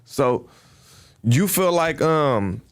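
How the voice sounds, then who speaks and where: A man hosting a casual interview, relaxed and encouraging, with slangy questions. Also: fairly high pitch, very slow, clean tone. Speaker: podcast host.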